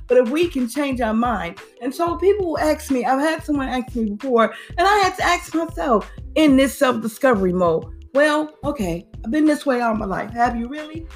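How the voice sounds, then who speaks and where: Woman, motivational host, fairly high voice, repeats herself for emphasis, soft music behind. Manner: preachy, repeats phrases for emphasis, slangy asides. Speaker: podcast host. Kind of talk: motivational talk.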